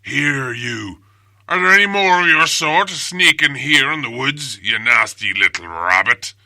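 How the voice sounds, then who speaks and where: A man voicing big, dim, quarrelsome trolls in a storybook, with a rough gravelly Cockney delivery. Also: mid-range voice, animated, no room sound, exaggerated voice. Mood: menacing. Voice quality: gravelly, forceful. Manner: slow-witted, rough, blustering speech.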